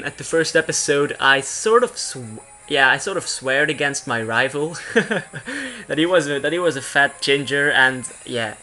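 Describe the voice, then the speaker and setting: Bright-voiced young man, rambling gamer. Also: on the high side. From Belgium. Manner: rambling, self-interrupting. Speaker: video game streamer. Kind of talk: casual let's play commentary.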